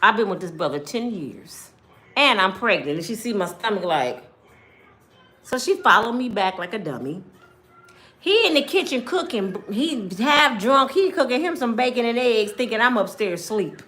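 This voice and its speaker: An American woman telling a personal story to viewers in a blunt, expressive way, acting out dialogue as she goes. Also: on the high side, normal speed. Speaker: storytime vlogger.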